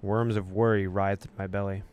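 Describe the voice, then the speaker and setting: Low-voiced man reading a game aloud. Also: little pitch movement, moderate pace, a little mellow, clear, resonant tone. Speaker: gaming video host. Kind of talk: let's-play, reading story text aloud with asides.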